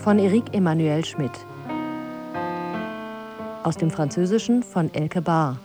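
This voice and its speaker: A woman announces titles and credits in a scripted style. Her voice is on the low side and very unhurried, with piano music playing behind her. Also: lively intonation.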